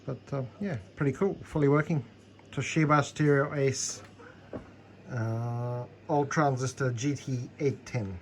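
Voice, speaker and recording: A man's voice: medium pitch, steady tone, measured pace, a little hiss or hum, rough recording. A man giving a casual, unscripted hands-on demonstration, explaining things a little hesitantly as he goes. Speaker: hobbyist demonstrating a repaired tape deck.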